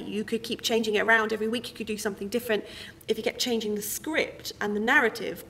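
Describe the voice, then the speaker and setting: A woman's voice: mid-range voice, vocal fry. A woman giving an explanatory, articulate interview as a member of a theatre company. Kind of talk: interview about a production. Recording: reverberant room, noisy with clicks.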